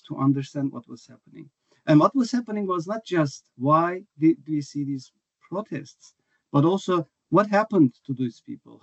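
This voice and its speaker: A slightly high-voiced man speaks as a scholar in an interview, working his thoughts out aloud with fillers and restarts. His voice comes over a muffled video call. The sound is dry and close. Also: gravelly, a little mellow, no echo. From Turkey. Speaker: author and scholar, guest. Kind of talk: academic interview answer.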